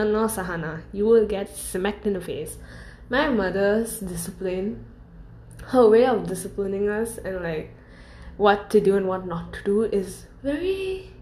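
A young woman who chats in a loose, rambling way. She swears without a second thought, laughs through her sentences and keeps drifting into side stories, and her voice sits at a middle pitch. It rings a little in a roomy space. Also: darkish tone. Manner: swears freely, laughs often, rambling asides. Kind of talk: casual storytelling monologue. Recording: roomy echo.

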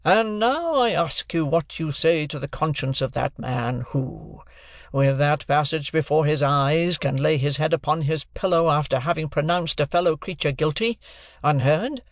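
A man voicing a bullying, sarcastic gentleman who cross-examines in a hectoring, authoritative manner for an audiobook. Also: fairly high pitch, lively intonation, normal speed, no echo, clean studio sound. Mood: bitter. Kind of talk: classic novel narration, character voice.

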